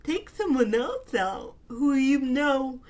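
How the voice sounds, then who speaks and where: A woman co-hosting a podcast in casual chat, earnest and affectionate, her voice a bit raspy from talking. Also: high voice, sing-song, a little mellow. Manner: slow, earnest and affectionate, with frequent fillers. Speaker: podcast co-host.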